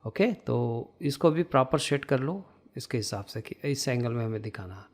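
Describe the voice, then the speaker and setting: A man's voice: mid-range voice, animated, moderate pace. Indian man thinking aloud through a tutorial. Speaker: tutorial instructor. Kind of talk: casual screen-recorded software lesson.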